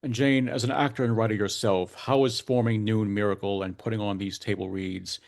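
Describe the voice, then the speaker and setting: A man hosting a podcast interview, putting prepared questions in formal, measured wording. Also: fairly low pitch, steady tone, gravelly.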